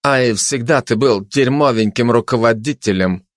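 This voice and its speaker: A man's voice: mid-range voice, moderate pace, no echo, studio quality, close to an everyday voice. A man playing a haughty, menacing dog in an audiobook, sneering and pompous as he threatens and declares. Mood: contemptuous threat. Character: haughty menacing fascist dog, mocking gang tough.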